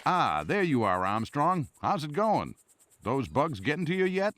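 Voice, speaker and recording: An actor playing a chatty, easygoing army superior in a game cutscene, talking in a casual, breezy way. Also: male voice, a little high, big pitch swings, measured pace, a bit bright, dry recording. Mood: breezy, friendly. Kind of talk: scripted game cutscene dialogue.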